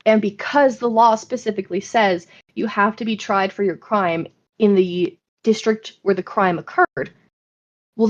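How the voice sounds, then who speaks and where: An American woman hosting a podcast, explaining things in an informal, animated, sweary way. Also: mid-range voice, muffled recording. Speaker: podcast host. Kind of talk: casual explanatory podcast chat.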